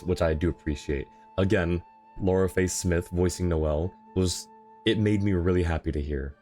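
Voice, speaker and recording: A man's voice: low-pitched, a little mellow. A man giving casual live-stream commentary as he plays a video game. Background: video game music.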